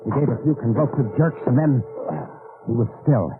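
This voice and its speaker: An actor playing a weary, desperate Allied agent in an old-time radio drama, narrating in a tense, confessional manner. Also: male voice, mid-range voice, fairly quick, heavy background noise, natural voice. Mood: tense recollection. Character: weary, desperate male Allied agent behind enemy lines. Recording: tinny old radio recording, background music.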